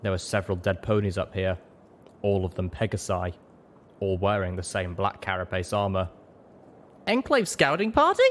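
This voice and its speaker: A man's voice: sits low, flat delivery, normal speed, a little hiss or hum. A man reading scripted first-person story narration as an audiobook narrator.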